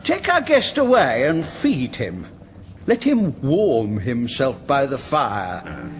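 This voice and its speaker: An actor in a radio drama playing a mocking, menacing outlaw lord with a sardonic, theatrical drawl. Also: male voice, mid-range voice, huge pitch swings, takes it slowly, a little hiss or hum, exaggerated voice. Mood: suave menace.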